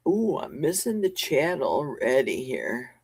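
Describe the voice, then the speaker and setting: A woman's voice: extremely low pitch, very animated, slow pace, vocal fry, a little mellow, husky, dry recording. A woman hosting a casual livestream from her home basement, chatty and jokey as she answers viewers' comments. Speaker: livestream host. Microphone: desk mic with a cough button.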